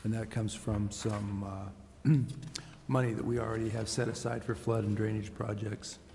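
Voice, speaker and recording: Gravelly, low-voiced man, hesitant. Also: level tone, normal speed, darkish tone. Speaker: city staff member answering questions. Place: indoor city council chamber. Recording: echoey council chamber with faint noise.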